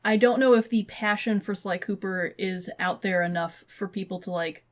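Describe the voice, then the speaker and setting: A woman's voice: mid-range voice, normal speed. A woman podcast co-host chatting casually and giving her opinions in an informal, loosely phrased way. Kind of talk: casual chat about games and movies.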